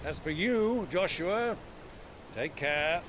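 Slow, high-voiced, hearty uncle. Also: male voice, animated, noisy background. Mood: hearty farewell. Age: middle-aged. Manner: jovial, grandiloquent. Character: hearty, theatrical gray-bearded warrior uncle. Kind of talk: dramatic video game cutscene acting. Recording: clear sea and ship ambience behind.

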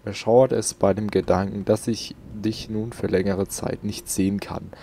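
A man's voice: fairly low pitch, fairly even intonation, moderate pace, mellow. A man doing casual let's play commentary and reading in-game notes aloud, close to the microphone.

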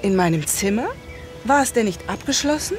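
A woman's voice: on the high side, animated, normal speed, soft background music, lightly acted. An actress playing a refined, calculating lady in a period audio drama, speaking in a genteel, mannered way. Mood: uneasy. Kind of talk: German audio drama acting.

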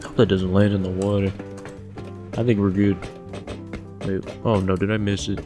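A young man with a deep, low voice talks through his gameplay in a loose, joking way, cursing when things go wrong and thinking out loud as he goes. Faint music runs under his voice. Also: flat delivery, normal speed. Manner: swears often, jokes, thinks aloud. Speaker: streamer playing a survival game. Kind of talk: casual gameplay commentary.

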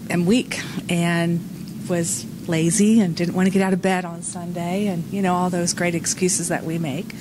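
A woman giving a frank, self-deprecating personal testimony to her church, speaking conversationally into a handheld microphone. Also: on the low side. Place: indoor church room among seated congregation. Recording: clear background room noise.

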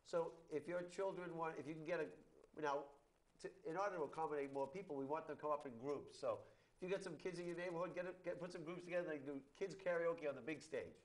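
A middle-aged man hosting a local talk show, voice raspy from a sinus cold, rambling loosely with many fillers. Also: fairly high pitch, fast talker, poor audio. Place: studio set against an outdoor backdrop. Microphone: lapel mic.

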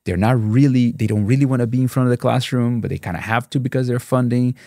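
Fast-talking man with a Brazilian accent. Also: mid-range voice, dry recording, studio quality. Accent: Brazilian Portuguese. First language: Portuguese. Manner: fast, animated, many restarts and repeated words. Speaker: statistics professor, guest. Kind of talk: casual interview conversation. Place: dark podcast studio. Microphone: studio mic on boom arm, headphones.

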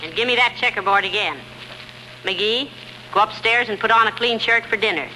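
An actress playing a sensible, firm wife in 1940s radio comedy, brisk and no-nonsense. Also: female voice, fairly high pitch, sharp tone. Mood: firm, scolding. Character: sensible, firm wife refereeing bickering men. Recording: noisy vintage 1940s radio recording.

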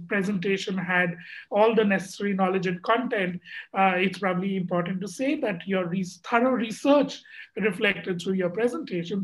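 A man's voice: sits high, low-quality recording. A man speaking as an educator on an online panel over a video call, earnest and filler-heavy, with many uhs and you-knows.

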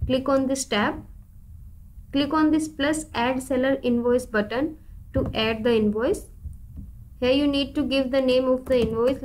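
A woman with a high-pitched voice presents a software tutorial. Her delivery is scripted and instructional, with deliberate phrasing as she takes each step in turn. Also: slight background noise. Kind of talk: scripted step-by-step screen demo narration.

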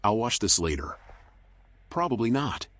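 A man voicing a world-weary raccoon private eye in a noir game, wry, sardonic and deadpan. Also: fairly low pitch, normal speed, slight background noise. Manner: dry, wry, deadpan muttering. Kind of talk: noir game voice acting, internal monologue and dialogue.

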